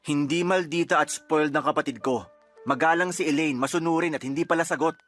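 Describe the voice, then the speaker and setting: A man's voice: fairly high pitch, a little fast, fairly bright tone, faint music behind, close to an everyday voice. A man playing a reproachful, protective boyfriend in a Filipino radio drama, earnest and reproving, with a Filipino accent. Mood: stern, defensive. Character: reproachful boyfriend, protective of his younger sister.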